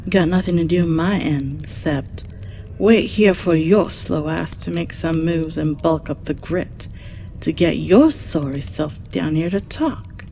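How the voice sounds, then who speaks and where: A woman's voice: low voice, dark tone, a little hiss or hum. A woman playing a jaded, mocking keeper of the underworld, brash, foul-mouthed and drawling, in an audio drama. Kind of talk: scripted audio drama monologue.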